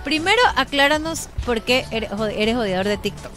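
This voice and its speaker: A woman's voice: a little high, expressive, normal speed. A woman, talking casually as a co-host in a radio studio. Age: 40s. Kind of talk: casual radio panel chat. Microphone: studio broadcast microphone. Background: faint music bed.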